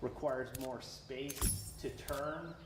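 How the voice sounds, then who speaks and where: A man's voice: medium pitch, fairly even intonation, drawn-out words. A man working as an attorney, methodically asking repetitive leading questions in a deposition. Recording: roomy, distant, noisy deposition recording.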